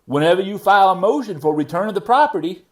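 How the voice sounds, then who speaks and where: A man's voice: high-pitched, lively intonation, quick pace. Man playing a stern judge with swooping intonation. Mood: businesslike. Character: stern but fair judge ruling at a revocation hearing. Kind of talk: readers theater reading of a court transcript.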